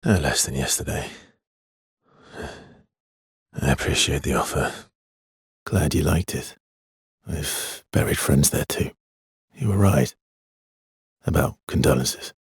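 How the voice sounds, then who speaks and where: Low, husky, weary immortal expeditioner. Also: male voice, measured pace, pauses often, no room sound, studio quality, exaggerated voice. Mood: somber, consoling. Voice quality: husky, breathy, gravelly low voice. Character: weary, guarded immortal male expeditioner, earnest and protective. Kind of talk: dramatic video game voice acting, naturalistic and understated.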